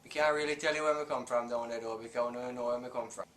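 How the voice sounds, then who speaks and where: Brief: Jamaican man, forceful bright voice, blunt and joking, echoey room with faint noise. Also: mid-range voice, steady tone, fast talker. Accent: Jamaican Patois. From western Jamaica.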